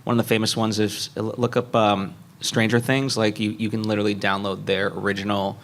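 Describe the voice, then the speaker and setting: A man's voice: lowish voice, little pitch movement, fairly quick, a bit bright, a little hiss or hum. A man speaking as a panelist at a live convention, methodically explaining things point by point. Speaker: panelist, indie animation filmmaker.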